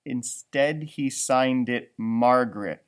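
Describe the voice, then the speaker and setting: A man giving an expressive children's storybook read-aloud, adding exclamations and comments for young listeners. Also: medium pitch, takes it slowly, smooth. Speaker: storybook reader, teacher.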